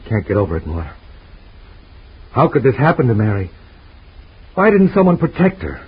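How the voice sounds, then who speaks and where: A man's voice: medium pitch, huge pitch swings, normal speed, husky, full character voice. A man voicing an anguished husband in an old radio drama, pleading and emotional in a 1940s acting style. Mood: desperate. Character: anguished guilt-ridden husband. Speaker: lead actor. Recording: dull lo-fi old radio recording.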